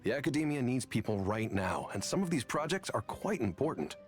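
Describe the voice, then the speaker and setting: An actor playing an earnest academy professor in a video game, measured, formal and coaxing. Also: male voice, mid-range voice, sing-song, faint music behind, lightly acted. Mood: earnest, persuasive. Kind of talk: scripted game dialogue.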